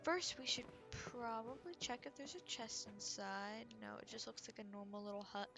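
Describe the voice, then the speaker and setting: A woman's voice: fairly high pitch, animated, normal speed, vocal fry. A woman giving casual live gameplay commentary, musing and thinking aloud. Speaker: game streamer. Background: game music.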